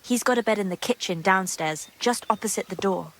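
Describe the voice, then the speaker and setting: A woman's voice: medium pitch, brisk, close to an everyday voice, rough recording. A woman playing a gossipy, guarded young monastery maid in a medieval video game drama, secretive and earthy in her talk. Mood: confiding. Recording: clear background ambient noise.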